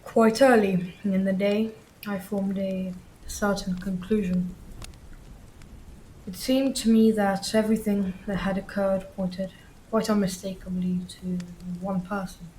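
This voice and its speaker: Woman playing a stern, formal gentleman, faint noise behind. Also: mid-range voice, fairly even intonation, close to an everyday voice, poor audio. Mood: grave. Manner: formal, measured, pompous. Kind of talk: radio play acting.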